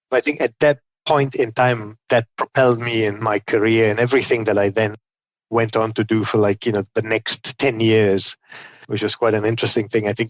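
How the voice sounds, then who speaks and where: A man's voice: fairly low pitch, no echo, low-quality recording. A middle-aged man from Malaysia, a podcast guest telling his life story in a chatty, self-deprecating way. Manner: chatty, self-deprecating, quotes himself often. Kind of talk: conversational storytelling.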